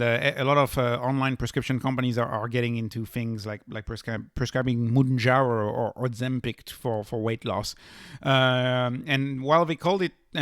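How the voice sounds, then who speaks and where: A man's voice: medium pitch, a bit bright, dry recording, clean studio sound. A man hosting an investing channel, giving informal financial commentary in an emphatic way, repeating key phrases for stress. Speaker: host of a stock investing channel.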